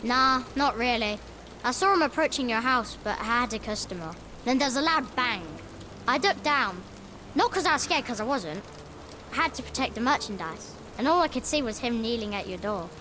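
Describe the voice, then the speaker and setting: A woman's voice: fairly high pitch, animated, normal speed, background noise, close to an everyday voice. A woman voicing a cheeky, boastful young newspaper seller, a streetwise child in a video game cutscene. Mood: chatty. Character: cheeky, money-minded young street newsboy, a bright child.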